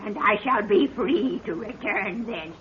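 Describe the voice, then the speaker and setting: Ancient witchy seer lady, super slow riddles, sharp voice, old muffled radio recording. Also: a little high, a little hiss or hum, heavily acted. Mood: cackling, exultant. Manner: archaic, riddling, ominous, drawn-out speech. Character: ancient cackling prophetess of a hidden underground world. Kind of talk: 1930s radio adventure serial acting.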